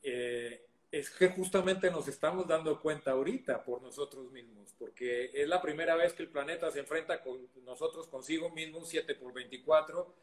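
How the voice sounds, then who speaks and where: A man's voice: a little high, normal speed, hardly any pauses. Thoughtful man host in an echoey room. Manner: thoughtful, poses long reflective questions. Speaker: interview host. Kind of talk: conversational interview.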